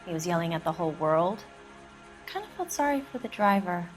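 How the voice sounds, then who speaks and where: An actress playing a hard-bitten, defensive 1940s American widow in a video game, curt, dry and unsentimental. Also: female voice, lowish voice, very animated, a little fast, faint music behind. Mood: bitter, defiant. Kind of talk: dramatic video game acting.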